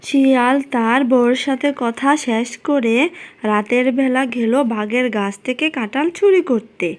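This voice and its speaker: A woman with a high-pitched voice narrates a children's cartoon story. Her delivery is scripted storytelling, laying out the tale line by line. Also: unhurried.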